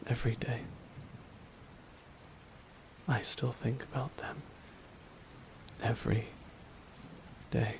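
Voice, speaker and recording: A man playing a grieving, resigned supervillain in a dramatic ASMR roleplay, speaking a slow, deliberate, solemn monologue with long pauses. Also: mid-range voice, level tone, background noise. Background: rain falling.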